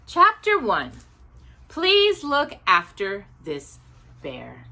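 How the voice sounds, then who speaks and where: A woman reading a children's book aloud in an expressive, deliberate way with drawn-out emphasis. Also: a little high. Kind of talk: expressive read-aloud children's story narration.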